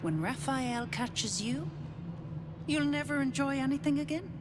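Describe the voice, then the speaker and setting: Slow, ominous devil's servant. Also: female voice, sits high, lively intonation. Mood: ominous warning. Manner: deliberate, warning tone. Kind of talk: video game character acting. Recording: clear background ambient noise.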